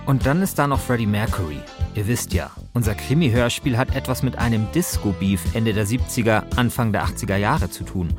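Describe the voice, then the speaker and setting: Male podcast narrator over clear background music. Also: fairly low pitch, brisk, hardly any pauses. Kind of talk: scripted narration, colloquial.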